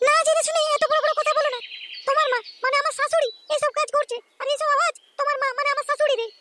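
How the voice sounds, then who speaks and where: A woman playing an indignant, scolding young wife in a comic cartoon, nagging and arguing in a squeaky pitched-up voice. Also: steady tone, very fast. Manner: nagging, argumentative. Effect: pitched-up chipmunk-like voice. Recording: loud noise, clicks, chirping birds.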